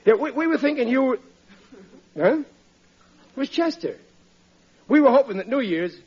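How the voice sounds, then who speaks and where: A muffled vintage radio sitcom recording of a blustering American riveter in middle age. His voice is husky and high for a man, and he exclaims in ungrammatical, working-class speech with gullible pride. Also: sing-song, normal speed, exaggerated voice. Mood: eager, pestering. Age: middle-aged. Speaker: comic lead actor.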